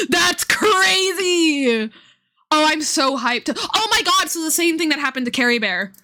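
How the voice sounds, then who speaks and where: A woman's voice: very high-pitched, sharp tone. A woman livestreamer giving casual, enthusiastic commentary on game lore, informal and prone to swearing.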